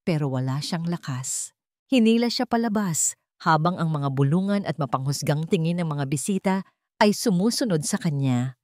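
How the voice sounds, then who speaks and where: A woman's voice: on the low side, a little mellow, dry recording, studio quality. A woman reading a scripted dramatic story as its narrator.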